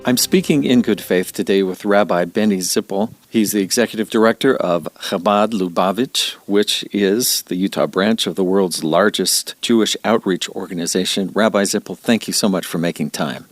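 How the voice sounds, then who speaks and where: A man hosting an interview show, putting questions to his guest in a gentle, easygoing, conversational way. His voice is fairly low. The sound is dry and close, with no room echo. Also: normal speed, hardly any pauses. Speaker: interview host.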